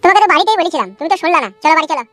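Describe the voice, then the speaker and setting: A woman's voice: very high-pitched, lively intonation, racing, fairly bright tone, dry recording, soft background music. A woman playing a weary, blunt young village son-in-law in a comic cartoon, nagging in a folksy way.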